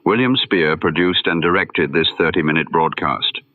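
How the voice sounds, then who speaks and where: A man's voice: low-pitched, moderate pace, dry recording, narrow, tinny audio. A man reading a scripted introduction as announcer for a vintage radio broadcast. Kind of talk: scripted narration.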